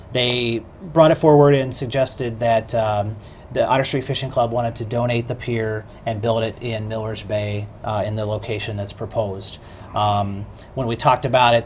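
Man in his thirties explaining calmly. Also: mid-range voice, a little hiss or hum. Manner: measured, explanatory, with fillers. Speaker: city councilman. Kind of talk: guest on a talk show. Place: small TV studio. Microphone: lapel mic.

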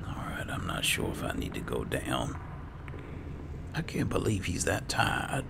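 A man with a gravelly voice giving quiet, low-key, muttered commentary as he plays through a game. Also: big pitch swings, a little slow, noisy background. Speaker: gaming video host.

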